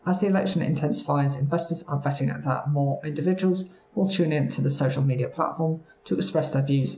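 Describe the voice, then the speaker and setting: A man reading news stories aloud at a steady pace, sometimes stumbling over words. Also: a little high, reverberant, poor audio. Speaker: news reader.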